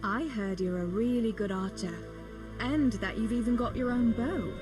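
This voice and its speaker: A woman's voice: a little high, moderate pace, over music, natural voice. A young woman playing a wry, reluctant miller's daughter in a medieval video game, with a dry manner. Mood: coaxing. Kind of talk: video game cutscene acting.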